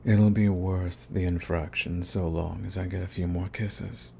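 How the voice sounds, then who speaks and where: A man's voice: sits low, fairly even intonation, measured pace, gravelly, darkish tone, slight background noise. A man playing a cocky, flirty villain wooing an enemy in a teasing, intimate romance audio roleplay. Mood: coaxing, smitten.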